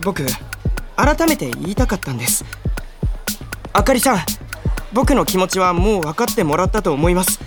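A woman's voice: fairly low pitch, big pitch swings, moderate pace, pauses often, music in the background. A woman playing an earnest, polite young man, a boyish and eager junior colleague in a radio drama. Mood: earnest confession.

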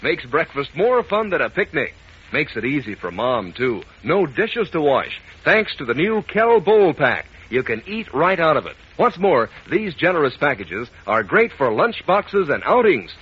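A man working as a 1940s radio announcer, giving a folksy, enthusiastic sales pitch to children. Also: big pitch swings, measured pace, husky. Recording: muffled vintage radio broadcast.

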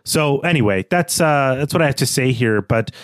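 A man's voice: medium pitch, animated, normal speed, no room sound, studio quality. A man co-hosting a literary discussion podcast, talking in a reflective, analytical way. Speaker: podcast co-host.

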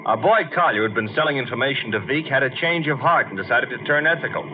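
An actor playing a wisecracking, flippant detective's assistant in a 1950s radio drama. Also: male voice, mid-range voice, animated, fast talker, natural voice. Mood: breezy. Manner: flippant, wry, snappy. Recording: dull, noisy old radio recording.

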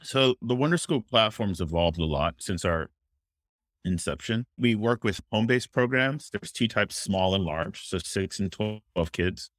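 A man's voice: on the low side, lively intonation, unhurried, a bit bright, no room sound. A young adult man from Miami, a childcare company founder, answering interview questions in an earnest, storytelling way with casual fillers. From Miami, Florida.

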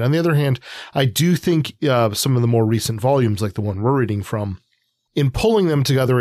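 A man's voice: medium pitch, no room sound, clean studio sound. An American man co-hosting a literary podcast, speaking in an informal, loosely phrased conversational way. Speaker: podcast co-host. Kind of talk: casual literary podcast discussion.

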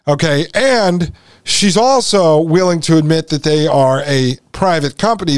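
A man hosts a talk show in a conversational, explanatory way. His voice sits a little higher than most men's, and the sound is very dry, with no room around it. He speaks straight to his audience as he lays things out. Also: smooth, no room sound. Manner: addresses listeners as folks, explanatory. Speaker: podcast host. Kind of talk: conversational show commentary to listeners.

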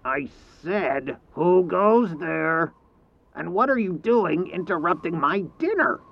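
A man playing a gruff, selfish village mayor in a children's story, brusque and dismissive, on a slightly muffled recording. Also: high voice, animated, slow, full character voice. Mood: gruff, irritated. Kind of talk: children's story podcast character acting.

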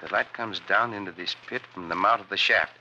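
A man's voice: lowish voice, brisk, lightly acted. A man playing a confident, good-humored Mexican cowboy adventurer in an old radio western, giving orders in formal phrasing. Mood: assured. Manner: formal phrasing without contractions, commanding. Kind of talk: 1940s-50s radio western acting. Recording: very noisy, muffled old radio recording.

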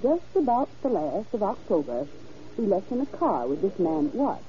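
A woman's voice: mid-range voice, very animated, normal speed, mellow, natural voice. An actress as a helpful working woman in an old radio crime drama. Her voice swings up and down as she hesitates and searches her memory. The recording is dull and noisy. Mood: hesitant, helpful. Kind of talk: 1940s-50s radio crime drama acting.

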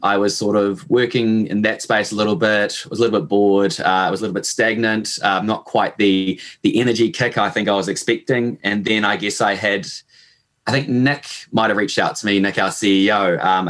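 A young man with a New Zealand accent in his late twenties, a podcast guest answering questions in a relaxed, easygoing way, often hedging with fillers. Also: lowish voice. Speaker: podcast guest, e-commerce manager. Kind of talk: casual interview answers.

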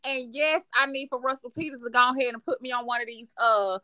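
High, bright American woman hosting. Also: fast talker, no echo. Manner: informal, emphatic, repeats phrases. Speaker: podcast host. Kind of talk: casual interview chat.

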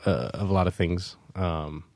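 A man's voice: deep voice, steady tone, normal speed, darkish tone, no echo, clean studio sound. A man chatting on a podcast, talking in a rambling, hesitant way. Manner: rambling, hesitant, many fillers and restarts. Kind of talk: casual podcast chat.

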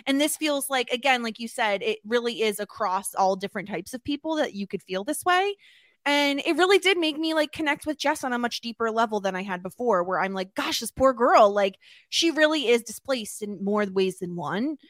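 A woman's voice: on the high side, expressive, normal speed, no echo, studio quality. A woman co-hosting a podcast, recapping a TV episode in casual chat and thinking aloud with lots of "like"s and restarts. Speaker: podcast co-host.

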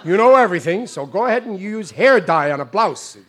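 A man's voice: high-pitched, animated, a little fast, a bit bright. A man playing a bumbling middle-aged working-class American husband in an old radio comedy, blustering and stammering. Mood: indignant. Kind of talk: 1940s radio sitcom acting. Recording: old radio recording, audience laughter.

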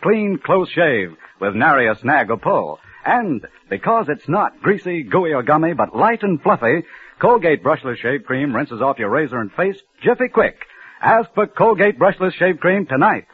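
A man's voice: fairly high pitch, very animated, moderate pace, slightly rough. An American man working as a commercial announcer on 1940s radio, reading scripted copy. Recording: tinny vintage 1940s radio broadcast.

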